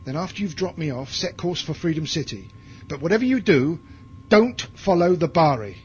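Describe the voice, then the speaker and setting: An actor playing a hard, bitter military commander in a science fiction audio drama, with a curt, commanding way of talking. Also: male voice, fairly high pitch, animated, moderate pace, a little hiss or hum, lightly acted. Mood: commanding, grim. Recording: dull lo-fi audio drama recording, music behind.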